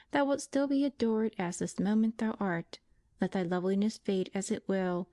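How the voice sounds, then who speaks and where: A woman's voice: on the high side, mellow, no echo, studio quality, voice pushed up, close to an everyday voice. A woman voicing a brave young working woman who comforts her invalid sister. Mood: fond.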